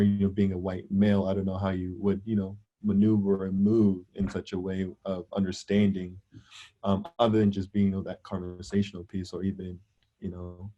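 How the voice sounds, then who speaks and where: Low flat coach guy, mellow, lots of ums, muffled audio. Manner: halting, many fillers, sincere. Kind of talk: video interview answer.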